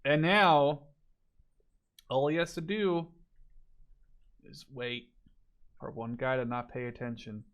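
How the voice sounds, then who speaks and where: Male game commentator, mid-pitched voice, casual and unscripted, rambling as he thinks aloud. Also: fairly even intonation, takes it slowly, long pauses, fairly bright tone. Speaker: video game spectator commentator.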